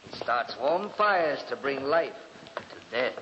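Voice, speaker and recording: An actor playing a cold, domineering lord of a decaying house in 1940s radio horror, with an imperious, morbidly brooding manner. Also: male voice, medium pitch, lively intonation, slow pace. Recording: muffled, noisy, cavernous 1940s radio recording.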